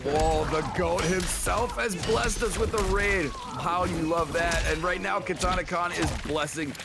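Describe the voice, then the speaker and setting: A man's voice: high voice, measured pace, vocal fry, over loud music. A man co-commentating a fighting game tournament with upbeat, playful play-by-play.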